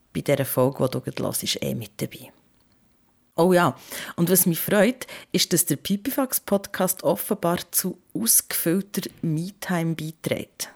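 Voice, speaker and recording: A woman's voice: sits low, gravelly. A young woman hosting a podcast in a casual, chatty style. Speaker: podcast host.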